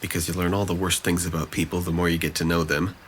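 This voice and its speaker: A man's voice: low voice, little pitch movement, quick pace, slight background noise, lightly acted. A man acting a depressed, guarded young man in an audio drama, speaking with dry, self-deprecating hesitance. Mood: guarded, doubtful.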